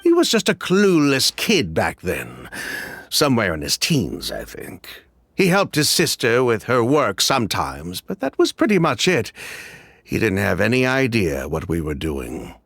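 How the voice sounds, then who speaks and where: A slick middle-aged ex-con, who talks with a hugely rising and falling, sing-song lilt and brushes things off. Evasive and chatty, in a dry studio game recording. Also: male voice, mid-range voice, huge pitch swings, measured pace, a bit bright, no room sound, exaggerated voice. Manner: evasive, chatty, with dismissive laughs. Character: smooth middle-aged male ex-perfume swindler. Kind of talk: video game voice acting.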